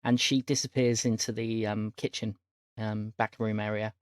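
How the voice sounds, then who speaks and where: A woman's voice: a bass voice, flat delivery, normal speed, clear, resonant tone, no room sound, clean studio sound. A woman running a tabletop roleplaying game as game master, narrating in hesitant, improvised phrases.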